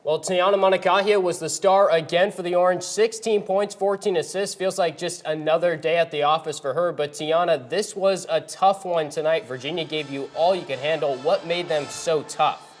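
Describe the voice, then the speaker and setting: A man's voice: on the high side, steady tone, moderate pace, few pauses, soft background music. A man hosting a sports broadcast and commentating on games as a play-by-play announcer.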